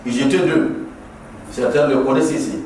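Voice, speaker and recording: A middle-aged man delivers a preaching, repetitive exhortation to a gathering. His voice sits at an ordinary pitch and sounds distant from the microphone. It rings through a heavily echoing hall with a faint background hiss. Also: steady tone, normal speed, a little mellow. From Burkina Faso. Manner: impassioned, preaching with rhetorical repetition. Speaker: guest speaker at a mosque inauguration. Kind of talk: exhortative ceremonial speech. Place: large echoing prayer hall. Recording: very echoey hall, voice far from the mic.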